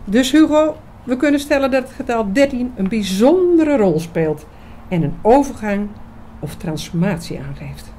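A woman's voice: fairly high pitch, very animated, normal speed, dark tone, slight background noise. A woman playing an eager, knowing researcher in a scripted radio drama, explaining mysteries with emphasis. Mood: intent, intriguing. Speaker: actress in an audio drama.

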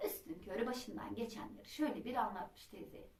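A woman telling a children's folk tale in an expressive, dramatic traditional storytelling manner. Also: mid-range voice, a little fast. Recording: roomy echo, distant microphone.

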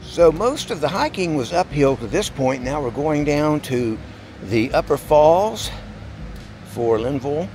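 A man's voice: a little high, big pitch swings, measured pace, darkish tone. A man in his sixties narrating a casual outdoor travel vlog in a plainspoken, folksy way. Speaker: travel vlogger and hiker. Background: music.

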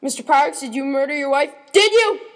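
A woman as a high-voiced commanding judge. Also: lively intonation, a little fast. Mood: stern, demanding. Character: stern, commanding courtroom judge. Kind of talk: amateur radio mystery acting.

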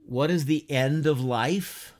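Slow-talking man teaching a church class. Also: a little high, a little mellow. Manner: unhurried and conversational, many fillers and rhetorical questions. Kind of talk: informal lecture to an online class. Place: home study lined with bookshelves, whiteboard beside him.

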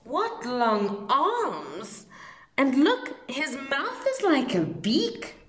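A woman's voice: high-pitched, huge pitch swings, drawn-out words, voice pushed up, heavily acted. A woman voicing a grumbling, easily horrified mother Tyrannosaurus in a dramatic storybook reading. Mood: grumbling disgust. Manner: exclamatory, dramatic. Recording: roomy home recording.

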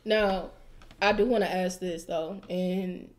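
Young woman, reflective podcast co-host, soft trailing delivery, studio mic in a small room. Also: lowish voice, fairly even intonation, slow pace. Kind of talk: casual chat. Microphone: studio mic with headphones.

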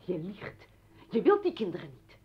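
An actress playing an exasperated, accusing mother confronting her ex-husband in a radio play, with a husky voice. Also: on the low side, huge pitch swings, moderate pace, exaggerated voice. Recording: dull old radio recording.

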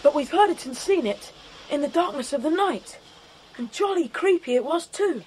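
Children's cassette drama: sensible British boy adventurer, high-pitched, animated, faint hiss. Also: moderate pace. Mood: excited, awed. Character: keen, sensible English schoolboy leader of young adventurers. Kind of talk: children's audio drama acting. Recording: faint noisy 1990s cassette audio drama.

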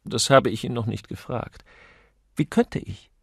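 A man's voice: mid-range voice, a little slow, creaky, breathy edge, dry recording, studio quality. A man voicing a witty, flippant upper-class amateur detective in an audiobook, chatty, wry and urbane. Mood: ironic, wry.

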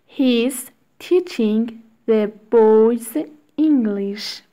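A woman reading short English phrases aloud one at a time, with long pauses for learners to repeat. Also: on the high side, dark tone. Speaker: English teacher. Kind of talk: slow read-aloud drill for learners.

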